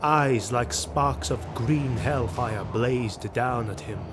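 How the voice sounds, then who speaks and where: A man narrates a sword-and-sorcery audio drama with grave, dramatic delivery. His mid-pitched voice carries scripted prose over clearly audible music. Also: slow pace. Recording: music behind the voice.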